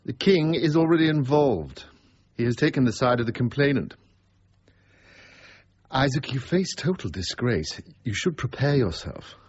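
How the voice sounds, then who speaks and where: An actor playing an urbane, wry aristocratic politician in a period radio drama, courteous, dry and measured. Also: male voice, medium pitch, expressive, a little mellow, breathy edge. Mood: grave. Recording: dull, muffled radio recording.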